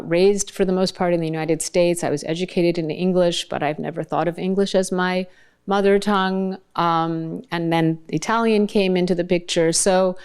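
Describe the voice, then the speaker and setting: Low, smooth-voiced woman lecturing thoughtfully. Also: darkish tone. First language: Bengali. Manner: thoughtful, searching for words mid-sentence. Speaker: translator giving a keynote talk. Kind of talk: academic lecture with slides.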